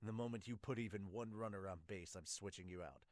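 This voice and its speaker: A man voicing a stern, composed baseball coach with a measured, firm delivery in an amateur manga dub. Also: on the low side, no room sound, pitched below the performer's own voice, lightly acted.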